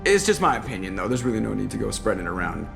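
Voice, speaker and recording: An actor voicing a wisecracking starship pilot who delivers dry, sarcastic quips. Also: male voice, on the low side, sing-song, rapid-fire, gravelly. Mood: sardonic. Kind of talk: video game voice acting. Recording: game audio with music behind.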